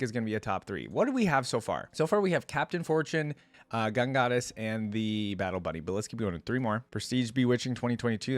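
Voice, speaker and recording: A man's voice: mid-range voice, no echo. A man giving casual, informal reaction commentary, enthusiastic and thinking aloud as he goes. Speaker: reaction video host.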